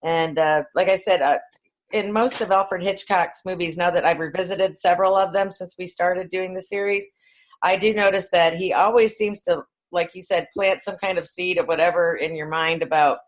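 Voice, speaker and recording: A woman's voice: fairly low pitch, fairly even intonation, moderate pace. A woman co-hosting a podcast, talking in a rambling, blunt, informal way. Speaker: podcast co-host. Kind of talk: casual film discussion.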